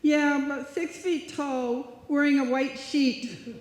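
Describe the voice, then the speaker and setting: A woman's voice: sits high, steady tone, slow pace, slight background noise. A woman playing a naive, scatterbrained, adoring young roommate in live old-time radio comedy style. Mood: guileless. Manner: guileless, dim-witted. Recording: echoey hall, live stage performance.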